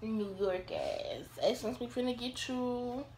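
A young American woman chatting casually in an animated, brash way, swearing heavily as she banters with friends. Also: fairly high pitch, slow, echoey room, slight background noise, rough recording. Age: young adult. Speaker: vlogger. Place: small home room.

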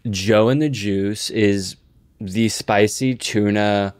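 A man's voice: on the low side, steady tone, takes it slowly, a little mellow, no room sound, studio quality. A young man co-hosting a podcast in casual comedic chat, joking with plenty of slang and swearing. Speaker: podcast co-host.

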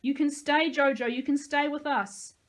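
A woman voicing an urgent, determined boy in a story, with forceful calls. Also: on the high side, fairly even intonation, very fast, natural voice. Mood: pleading. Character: urgent, determined boy leading trapped children.

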